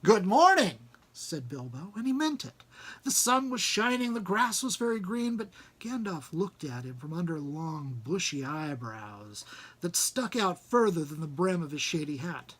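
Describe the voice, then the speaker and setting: A man reading a storybook aloud as an audiobook narrator, with expressive delivery. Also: a little high, normal speed. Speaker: audiobook reader.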